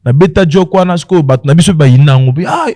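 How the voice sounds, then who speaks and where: A man's voice: a little high, rapid-fire, warm tone, no room sound, studio quality. A man with a Congolese accent chatting casually as a comedian guest on a podcast, switching between languages and telling stories with repeated words.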